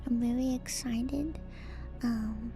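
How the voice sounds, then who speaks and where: A woman streamer speaking in a soft, breathy ASMR whisper, reading aloud and adding casual, musing comments. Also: on the high side, fairly even intonation, slow pace. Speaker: streamer and host. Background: music.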